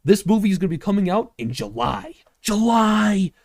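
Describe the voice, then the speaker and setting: A man's voice: high voice, animated, normal speed, darkish tone, dry recording. A young man hosting a casual project update, talking in an informal, rambling way peppered with filler words. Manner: informal, rambling, heavy use of "like". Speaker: filmmaker and host.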